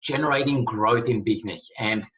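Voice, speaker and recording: A man's voice: lowish voice, moderate pace, fairly bright tone. A man hosting an interview series over a video call, talking in a friendly way with many fillers. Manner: friendly, filler-heavy talk. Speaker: host. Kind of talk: interview introduction and questions. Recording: echoey room, far from the mic.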